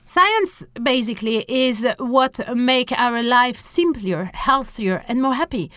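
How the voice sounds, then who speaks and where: A woman speaks. Her voice is bright and fairly high, and she explains things emphatically, piling up lists. The sound is clean and dry. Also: animated, slow, dry recording. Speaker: interview guest, scientist and science outreach organiser. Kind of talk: enthusiastic interview explanation.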